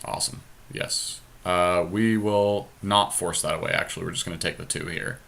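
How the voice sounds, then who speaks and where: Low, bright-voiced man talking through gameplay. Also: fairly even intonation, normal speed, gravelly. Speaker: podcast host playing a card game. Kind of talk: casual gameplay commentary, thinking aloud.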